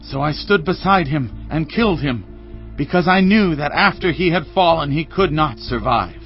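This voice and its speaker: A young battlefield messenger in a biblical drama, fairly high in pitch and earnest in his dramatic telling. The recording is a little dull, with music behind him. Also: expressive, normal speed. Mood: urgent, earnest. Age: young adult. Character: young Amalekite messenger reporting a king's death. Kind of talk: dramatized Bible audio acting.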